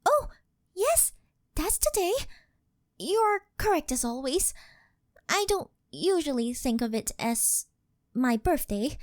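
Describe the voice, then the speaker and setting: A woman's voice: high-pitched, very animated, slow pace, pauses often, dry recording, clean studio sound, full character voice. A woman playing a devoted yandere doll maid who worships her master, speaking with reverent, formal servility in an ASMR roleplay. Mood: humble, grateful.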